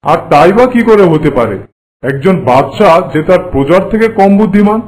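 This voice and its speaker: A man playing a boastful, haughty king in an animated folk tale, speaking in a pompous, commanding way. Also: high-pitched, moderate pace, rough recording. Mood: indignant. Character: boastful, haughty king who prides himself on trickery.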